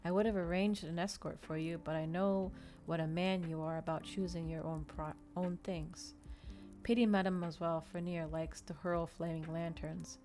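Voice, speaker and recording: A woman voicing a haughty, mocking male vampire in a soft, theatrical storybook reading. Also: fairly low pitch, fairly even intonation, normal speed, faint music behind, close to an everyday voice. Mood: mocking, playful. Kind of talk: soft ASMR audiobook character reading.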